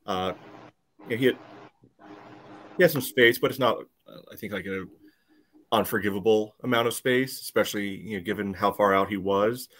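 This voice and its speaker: A man's voice: fairly low pitch, normal speed, pauses often, fairly bright tone. A man co-hosting a sports podcast, explaining match play step by step in a casual, matter-of-fact way. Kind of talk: casual soccer match analysis.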